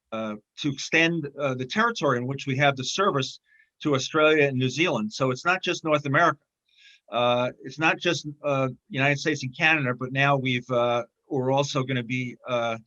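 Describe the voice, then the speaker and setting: A man speaking as a guest in a casual interview, talking haltingly with many fillers and restarts. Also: mid-range voice, pushed and bright, dull audio. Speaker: film distributor, interview guest.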